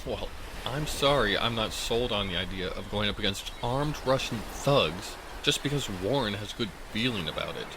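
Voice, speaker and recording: A man's voice: on the low side, animated, moderate pace, noisy background. A man acting in an audio drama as a skeptical, frightened fisherman, protesting sardonically. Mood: worried, sardonic. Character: skeptical, frightened fisherman arguing against a dangerous plan.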